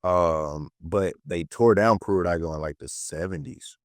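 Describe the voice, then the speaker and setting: A man's voice: sits low, a little slow, no room sound, clean studio sound. A nineteen-year-old man from the United States talking casually as a podcast guest, telling stories in slangy speech with emphatic repetition. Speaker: podcast guest, political educator and content creator. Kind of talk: casual conversational storytelling.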